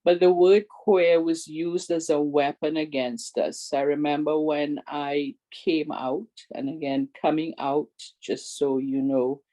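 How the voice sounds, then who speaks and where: Older woman presenter with a big voice, unhurried and warm in tone, earnestly urging her listeners. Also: low voice, steady tone, poor audio. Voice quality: big, strong voice. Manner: earnest, pleading, repeats requests. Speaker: presenter and advocate. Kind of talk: community awareness talk.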